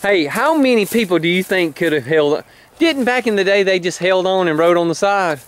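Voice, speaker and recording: Middle-aged guy behind the camera outside, high voice, bouncy, teasing questions. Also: animated, a little fast, a little hiss or hum. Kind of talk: casual banter, talking to viewers. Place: outdoor rural junkyard.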